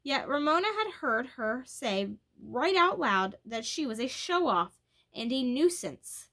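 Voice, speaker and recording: Bright-voiced woman reading a children's storybook aloud. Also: high voice, very animated, a little slow. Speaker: storybook reader. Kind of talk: expressive children's book read-aloud.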